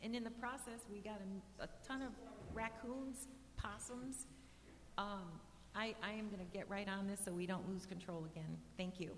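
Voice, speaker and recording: A woman's voice: medium pitch, steady tone, moderate pace. Plainspoken woman manager in a reverberant hall. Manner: plainspoken, frequent restarts and fillers. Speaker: community park manager answering residents. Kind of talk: casual meeting talk. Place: community meeting hall. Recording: reverberant hall with faint hum.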